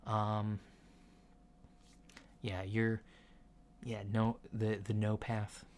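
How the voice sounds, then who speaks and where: A man playing a casual, reassuring alien doctor in a soft ASMR roleplay, speaking in a mild, hesitant, matter-of-fact way. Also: on the low side, completely flat delivery, extremely slow. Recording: lo-fi with clear background noise.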